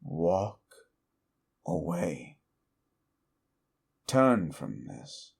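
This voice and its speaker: A man voicing a bitter, mocking lawful-evil male demon in an audio roleplay. He moves between hushed, menacing warnings and exasperated rants aimed at the listener. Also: deep voice, very animated, extremely slow, creaky, husky, heavily acted.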